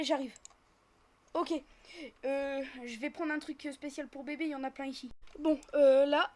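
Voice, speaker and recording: High-voiced, chatty gamer, female. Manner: chatty, narrates own actions as they happen. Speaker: host of gaming roleplay videos. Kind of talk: casual improvised roleplay commentary while playing a game.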